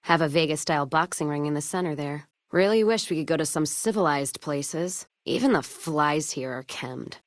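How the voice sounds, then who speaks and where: A woman's voice: low-pitched, normal speed, few pauses, no echo, studio quality, natural voice. A woman playing a blunt, hard-drinking, foul-mouthed wasteland companion in a video game, wry and wary, with clipped, folksy phrasing. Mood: wary, disdainful.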